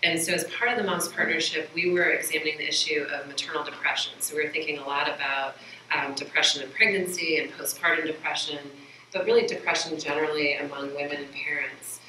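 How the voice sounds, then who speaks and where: A woman's voice: sits low, fairly even intonation, fast talker, sharp tone, slight background noise. A woman in her forties giving an academic presentation at a podium microphone, earnest and gesturing, with many fillers. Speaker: researcher panelist. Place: meeting room, indoors. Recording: echoey room, voice from a distance.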